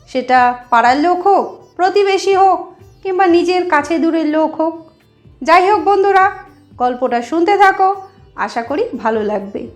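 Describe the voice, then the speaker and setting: High-pitched, unhurried woman storyteller. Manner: addresses listeners directly, explanatory. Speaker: storytelling channel host. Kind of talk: narrated moral storytelling.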